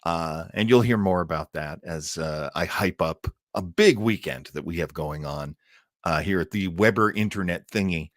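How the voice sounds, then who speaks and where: A man's voice: low-pitched, moderate pace, dry recording, clean studio sound. A man hosting a live stream about old-time radio, chatting in a rambling, enthusiastic, theatrical way. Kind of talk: casual live stream chat.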